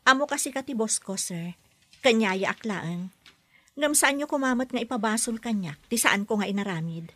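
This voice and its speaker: A woman's voice: fairly high pitch, lively intonation, moderate pace, a bit bright, no echo. A woman as a defiant young nanny. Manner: firm, pushes back politely.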